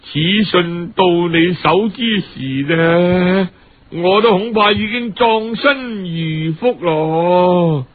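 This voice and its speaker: A man's voice: high-pitched, phone-quality sound. A man voicing a despairing, remorseful husband's farewell letter in an audiobook, mournful and theatrical. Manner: slow, mournful, theatrical sighing delivery.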